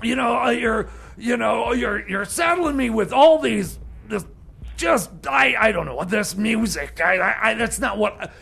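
A man playing a flustered, exasperated, stammering radio DJ in a comedy radio show, raspy and halting. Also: fairly bright tone, heavily acted. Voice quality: high-pitched, gravelly and raspy. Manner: halting, stammering, filler-heavy, rambling. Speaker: radio DJ and host. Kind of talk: comedy radio theater, bumbling on-air banter.

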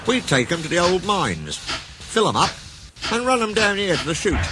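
A man's voice: high voice, sing-song, normal speed, background noise, pitched above the performer's own voice. A man voicing a small, grumbling engine in a children's story, blunt, boastful and colloquial in manner.

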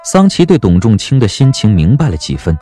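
A man narrating an audio drama in an expressive storytelling manner. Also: lowish voice, a little fast, soft background music.

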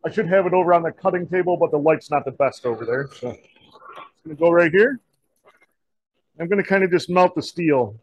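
A man in his forties gives a hands-on welding lesson in a school metal shop, talking in a relaxed, folksy way full of little fillers. His voice sits a bit high for a man. The sound is dry and close, with little room echo. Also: a little fast, long pauses, husky, highs cut off. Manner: relaxed, folksy, many "kinda" and "you know" fillers. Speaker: diesel technology teacher.

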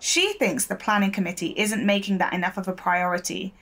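A woman's voice: mid-range voice, steady tone, brisk, a bit bright, natural voice. A woman acting as a diligent young local newspaper reporter in a naturalistic audio drama, polite and inquisitive. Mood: earnest, keen.

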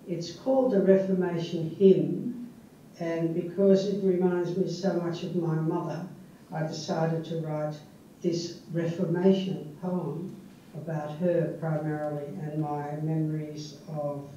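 An older woman, a native Dutch speaker from the Netherlands, reading her own poem in a measured, unhurried way. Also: fairly low pitch, mellow, a little hiss or hum. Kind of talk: poetry reading. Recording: echoey, distant, dull.

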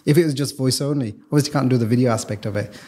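A man's voice: mid-range voice, racing, dark tone, breathy edge. A man who works as a video strategist, explaining his work as a podcast guest in a casual way, often restarting and repeating words.

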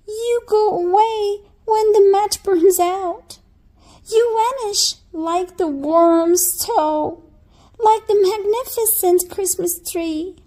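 A woman voicing a pleading, high-pitched little orphan girl. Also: slow, dark tone.

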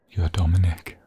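A lovestruck vampire fiancé , acted by a man, murmurs in a deep, very husky and breathy voice. He speaks slowly and haltingly, with sentimental, flowery tenderness, often slipping toward a whisper, as in an intimate ASMR boyfriend roleplay. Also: mellow, heavily acted.

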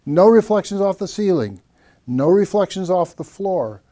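An older man co-presenting a technical explanation in a measured, didactic way, repeating key phrases. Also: high voice, animated, mellow. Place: small acoustically treated home theater room.

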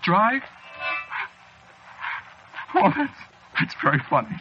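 A man's voice: high-pitched, big pitch swings, takes it slowly, soft background music, heavily acted. An actor playing a frightened, desperate man losing his sanity, pleading and jittery in 1940s radio drama style. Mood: hysterical. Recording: muffled restored old radio recording.

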